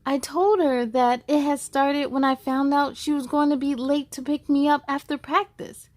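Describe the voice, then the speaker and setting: A woman hosting a children's storytime, reading aloud in a cheerful, encouraging and expressive way. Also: high-pitched, normal speed, no room sound. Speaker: host of a children's read-aloud show.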